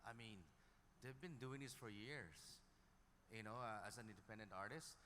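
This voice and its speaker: A man's voice: lowish voice, moderate pace, bright, forceful tone. A man on an industry panel giving his opinions casually and emphatically, repeating himself. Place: hall at a music conference panel. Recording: noisy echoey hall, long reverb.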